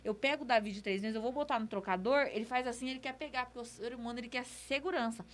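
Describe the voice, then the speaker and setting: A woman's voice: on the high side, fast. A woman with a Brazilian accent giving casual advice on a podcast in a conversational, explanatory way, like a mentor. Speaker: podcast speaker, business mentor.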